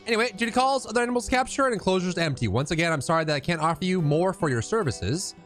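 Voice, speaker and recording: Man voicing a wry, animated animal wrangler. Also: sits high, fast talker, soft background music. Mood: matter-of-fact.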